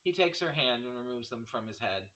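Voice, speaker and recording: A man's voice: mid-range voice, lively intonation, brisk, sharp tone, low-quality recording. A man narrating stage directions from a script at a table read, reading plainly and occasionally stumbling over words.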